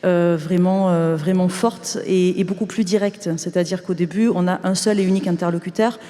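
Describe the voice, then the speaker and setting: A woman's voice: fairly low pitch, steady tone, normal speed, dark tone. A woman speaking spontaneously as a panelist about her organisation's work. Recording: reverberant hall sound.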